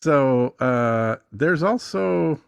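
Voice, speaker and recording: A man with a creaky, gravelly voice, recorded dry and close. He talks casually as a podcast guest walking through a tutorial, in a blunt, matter-of-fact way. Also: mid-range voice, expressive, drawn-out words, no echo. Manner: blunt, corrects the other speaker. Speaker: podcast guest explaining music monetization. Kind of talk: casual screen-share tutorial chat.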